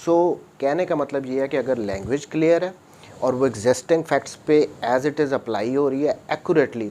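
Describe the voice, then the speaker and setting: Male lecturer, mid-pitched voice; methodical, repetitive explainer who switches between reading and paraphrase. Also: a little mellow. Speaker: law lecturer.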